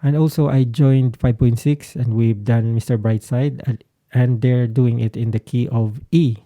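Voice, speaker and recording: Dark-voiced, slow-talking man, gravelly edge. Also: medium pitch, fairly even intonation, no room sound. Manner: hesitant, searching for words. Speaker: guitarist vlogger. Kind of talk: casual explanatory talk to camera.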